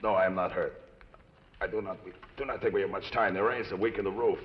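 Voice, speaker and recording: An actor playing a grateful, wry Mexican outlaw of about forty-five in an old TV western, speaking formally and floridly with a Mexican accent. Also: male voice, low-pitched, steady tone, fast, husky. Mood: strained, wry. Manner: florid, formal speech sprinkled with Spanish. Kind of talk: 1950s television western acting. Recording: muffled, noisy old TV western soundtrack.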